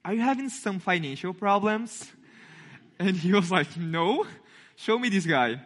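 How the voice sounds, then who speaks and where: A young man from Brazil with a Brazilian Portuguese accent preaches to a congregation in a friendly, storytelling way. His voice sits a little high and sounds bright and forward. He acts out the voices of the people in his story as he goes. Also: animated, measured pace. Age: 20s. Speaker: intern and youth speaker. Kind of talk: sermon with storytelling.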